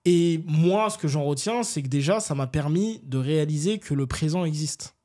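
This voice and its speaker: A man from France, an interview guest telling stories in casual, animated, slangy talk and often seeking agreement. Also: on the high side, normal speed. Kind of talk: casual conversational storytelling.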